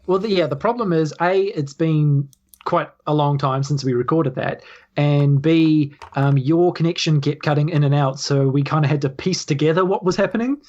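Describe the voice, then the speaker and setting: A man's voice: a little high, moderate pace, darkish tone, highs cut off. New man hosting a game podcast. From New Zealand. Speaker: podcast host and game master. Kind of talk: casual actual-play hosting and recap.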